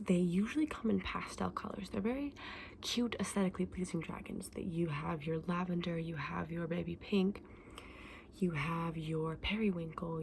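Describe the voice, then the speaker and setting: A woman doing a soft-spoken, often whispered ASMR roleplay as a gentle, chatty shopkeeper, explaining her wares informally and without hurry. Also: lowish voice, gravelly, slight background noise. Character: gentle, chatty woman selling dragon eggs.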